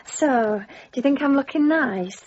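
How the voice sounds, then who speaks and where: A woman's voice: high voice, expressive, a little slow, a little hiss or hum. A woman playing a vain, coquettish young village beauty who teases and scolds her suitor in a radio drama. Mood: teasing. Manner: coquettish, sharp-tongued, sing-song. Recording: dull lo-fi 1990s broadcast.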